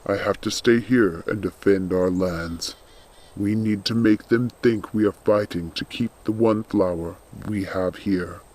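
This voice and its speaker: A man playing a loyal undead skeletal servant in a storybook, speaking in a grave, earnest, measured and protective way. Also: fairly low pitch, a little mellow. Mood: firm, protective.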